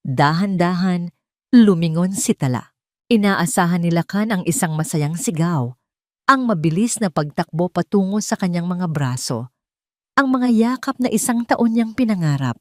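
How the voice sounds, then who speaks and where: A woman reading a scripted dramatic story as narrator, in a measured, suspenseful way. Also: lowish voice, lively intonation, no room sound, studio quality. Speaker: narrator of audio drama stories.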